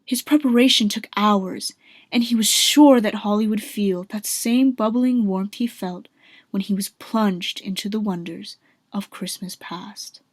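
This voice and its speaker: A woman's voice: medium pitch, measured pace, no echo. A woman giving scripted storytelling narration for a radio drama.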